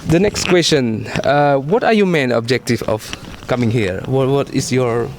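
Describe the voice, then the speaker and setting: A man speaking as an interviewer, asking questions in stiff, formal, halting English. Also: medium pitch, slight background noise. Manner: formal, halting non-native phrasing. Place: conference venue.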